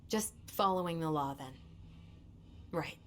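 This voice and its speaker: A woman's voice: sits low, measured pace. A woman playing a hurt, earnest young adult in a naturalistic video game drama. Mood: hurt, disappointed. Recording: noisy game capture.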